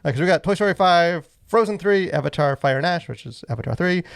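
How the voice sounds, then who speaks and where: A man's voice: fairly high pitch, expressive, fast, creaky, no echo, clean studio sound. A man co-hosting a podcast, chatting casually about pop culture in an informal, rambling, filler-heavy way. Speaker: podcast host.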